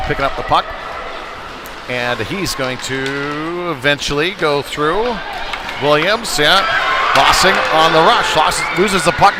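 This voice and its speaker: A man doing live play-by-play commentary of a hockey game, following the action in broken, trailing phrases. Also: on the high side. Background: arena music over public address.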